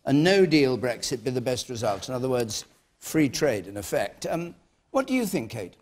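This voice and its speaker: A man chairing a panel debate in front of an audience, briskly interrupting and keeping speakers in order. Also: medium pitch, very animated, darkish tone. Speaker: host moderating a panel debate. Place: large hall with studio audience. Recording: audience murmur and background noise.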